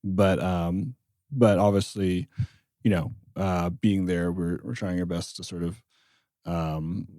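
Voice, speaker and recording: Mellow low-voiced man, rambling chat. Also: fairly even intonation, takes it slowly, no room sound, clean studio sound. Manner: rambling storyteller, frequent "like" and restarts. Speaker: jazz bassist, interview guest. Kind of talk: casual interview chat.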